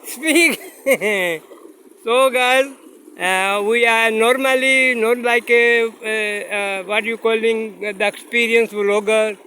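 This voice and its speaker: A man's voice: very high voice, fairly even intonation, sharp tone, slight background noise, rough recording. Urdu-speaking man vlogging by a stream. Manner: halting, simple English with many fillers. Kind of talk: casual vlog narration. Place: outdoors by a mountain stream. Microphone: phone camera, no separate mic.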